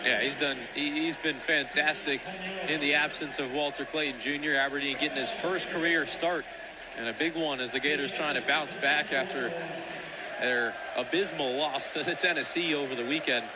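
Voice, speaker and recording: A man's voice: on the high side, normal speed. A young man giving live basketball analysis as a radio color commentator. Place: courtside in an indoor basketball arena. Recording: loud arena ambience and noise.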